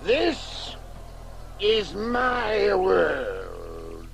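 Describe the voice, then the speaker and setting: A man's voice: high voice, huge pitch swings, extremely slow, gravelly, a little hiss or hum, heavily acted. A man acting a menacing, imperious warlord in a radio play, proclaiming threats in a grandiose manner.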